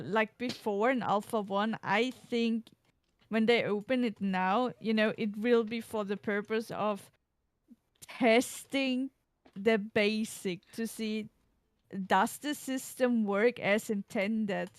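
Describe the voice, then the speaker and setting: Woman, streamer, casual gaming chat, measured, smooth voice, dry close sound. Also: a little high, fairly even intonation, dry recording.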